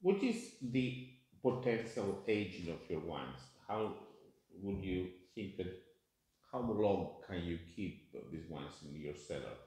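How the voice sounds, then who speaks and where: Low-voiced Italian man interviewing, echoey. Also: expressive, takes it slowly, warm tone. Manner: prompting, leading questions in non-native English. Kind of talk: interview questions. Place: bright tasting room, indoors. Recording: very echoey room.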